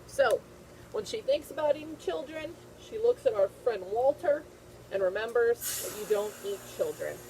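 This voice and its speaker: A woman's voice: fairly high pitch, sing-song, moderate pace, noisy background. A woman teacher reading a picture book aloud to young children, repeating phrases and checking in with simple questions.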